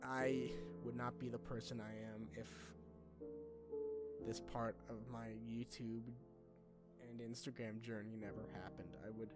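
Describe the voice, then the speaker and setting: A young man talking casually and unscripted like a YouTuber to followers, candid, self-deprecating and halting, with informal phrasing. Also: medium pitch, flat delivery, over loud music. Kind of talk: casual unscripted vlog talk.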